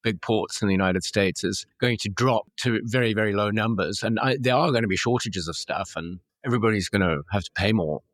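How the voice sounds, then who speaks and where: A man's voice: lowish voice, fairly quick, hardly any pauses. A man hosting a podcast, talking in a casual conversational interview style. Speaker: podcast host.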